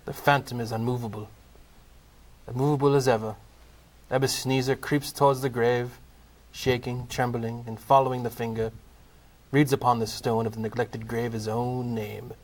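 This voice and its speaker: A man with a medium-pitched voice narrates a comic audio play. His delivery is dramatic scripted storytelling, broken into short, deliberate phrases. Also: flat delivery, low-quality recording.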